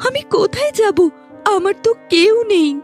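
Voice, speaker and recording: A woman's voice: very high-pitched, animated, moderate pace, darkish tone, faint music behind. A woman voicing a helpless, sorrowful young stepdaughter in a fairy-tale cartoon, with a meek, plaintive, pleading delivery.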